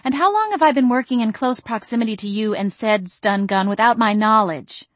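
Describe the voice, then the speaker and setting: A wry young woman playing protective best friend, as a woman narrator acts her out. She is blunt, teasing and brisk, pitched on the high side in a dry storybook recording. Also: lively intonation, dry recording. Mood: indignant.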